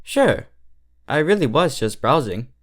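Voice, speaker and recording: A man's voice: on the high side, big pitch swings. Guy doing a confident polite cartoon stallion, takes his time, really leans on words. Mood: agreeable. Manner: measured, emphatic, self-assured.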